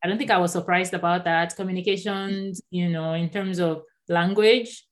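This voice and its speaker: Woman, 30s, Nigerian-born, first language Yoruba, low voice, animated, laughs often, interview guest. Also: moderate pace. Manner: animated, laughs often, repeats words when starting a thought. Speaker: author, guest. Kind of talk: conversational interview.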